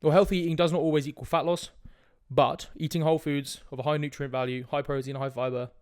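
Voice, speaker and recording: A man's voice: on the high side, level tone, fast, a little mellow, no room sound. Sniffly young man, dry close sound. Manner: direct, casual, swears, frequent "right?" asides. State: sniffly with a cold. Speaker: fitness coach podcast host. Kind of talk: casual solo podcast talk.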